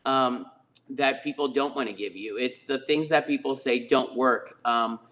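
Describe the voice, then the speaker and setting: A man's voice: medium pitch, a little slow, fairly bright tone. A middle-aged man, a real estate agent who grew up in Spain, telling stories from his career on a webinar in a rambling way, with many fillers and restarts, over a video call. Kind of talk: webinar guest storytelling.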